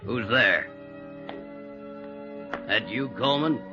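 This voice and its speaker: A man's voice: medium pitch, lively intonation, takes it slowly. An actor playing a nervous, terse police patrolman on night watch in a 1940s radio drama. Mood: wary challenge. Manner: wary, terse. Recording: muffled vintage radio recording, loud music behind.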